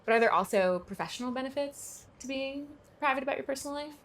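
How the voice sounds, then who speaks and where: A woman's voice: high-pitched, lively intonation, fast talker, a bit bright, a little hiss or hum. A woman interviewer in a played clip, asking probing, leading questions. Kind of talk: magazine interview.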